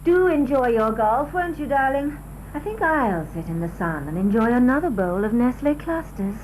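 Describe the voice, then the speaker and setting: A woman's voice: on the high side, animated, moderate pace, darkish tone, a little hiss or hum, lightly acted, low-quality recording. A woman playing a teasing, leisured wife savouring breakfast cereal in a TV commercial. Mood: breathy, savouring. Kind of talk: TV commercial acting.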